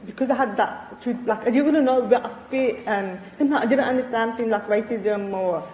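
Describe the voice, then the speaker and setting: A woman with a slightly high voice answers on a panel, thoughtful and halting, often restarting her sentences. Her voice carries a long reverb from the hall. She speaks into a handheld microphone. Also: darkish tone. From Australia. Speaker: researcher and panel guest.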